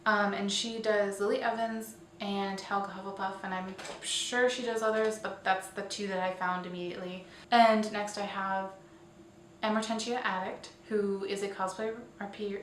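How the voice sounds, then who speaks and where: Chatty woman in a roomy, echoey recording. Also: mid-range voice. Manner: chatty, informal, self-deprecating asides. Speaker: host of a weekly online roundup show. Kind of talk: casual presenting to viewers. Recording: roomy echo, distant mic, faint noise.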